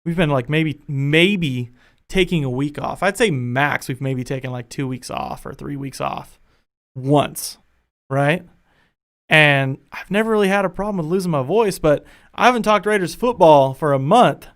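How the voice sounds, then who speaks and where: A young man hosting a casual sports podcast from his home studio, chatty and energetic, his voice a bit worn. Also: a little high, moderate pace. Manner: energetic, chatty, thinking aloud. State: losing his voice. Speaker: podcast host.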